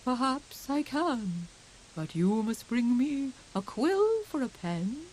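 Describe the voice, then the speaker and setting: A woman voicing a grand, pompous old owl in a storybook, speaking slowly and drawing out words. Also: fairly high pitch, very animated, a little mellow, a little hiss or hum, pitched above the performer's own voice, rough recording. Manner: slow, drawn-out.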